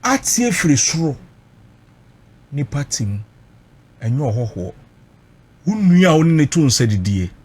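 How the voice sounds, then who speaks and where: A man's voice: a little high, very animated, unhurried, pauses often, darkish tone, slightly rough. A Ghanaian man recounting personal experience emotionally, mixing Twi and English, emphatic and dramatic, repeating phrases for weight.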